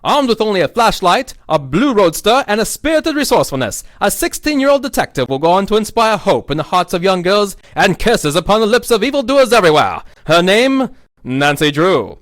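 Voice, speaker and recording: A man's voice: high voice, sing-song, a little fast, a bit bright, no room sound, studio quality. A man playing a grand, theatrical announcer, narrating a dramatic period game trailer. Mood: grand, suspenseful.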